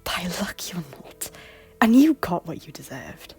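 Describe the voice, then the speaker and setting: A woman voicing a remorseful noblewoman with a breathy, slightly husky voice that rises and falls widely. She pleads earnestly and often drops to a hush, with soft music underneath. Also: lowish voice, big pitch swings, a little slow, full character voice. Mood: defiant. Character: remorseful young noblewoman seeking forgiveness. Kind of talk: audio drama acting.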